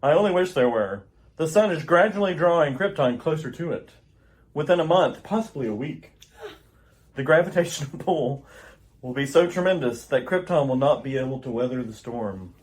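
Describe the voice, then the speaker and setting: A woman acting as a grave scientist from a doomed world, his voice dropped low and solemn. He declaims his warnings with urgency, in the manner of an old radio play. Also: expressive, moderate pace, a little mellow. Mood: grave, urgent. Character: Jor-El, grave male scientist warning of planetary doom. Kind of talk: amateur old-time radio drama acting.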